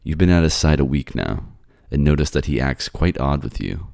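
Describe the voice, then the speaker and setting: A man with an extremely low, creaky voice reads scripted narration. He tells a dramatic story in the second person, as the narrator of an audio roleplay. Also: lively intonation, moderate pace, a little mellow, dry recording, clean studio sound. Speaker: narrator and voice actor.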